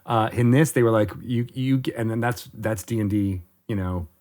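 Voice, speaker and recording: A man hosting a podcast, chatting in a playful, lively way. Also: fairly low pitch, normal speed. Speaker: podcast host. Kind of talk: casual podcast chat.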